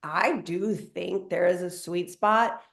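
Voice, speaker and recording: A woman's voice: fairly low pitch, takes it slowly, gravelly, reverberant. A woman in her thirties, a comedian chatting casually on a podcast, self-mocking and acting out little voices in her stories. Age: 30s. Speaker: comedian guest.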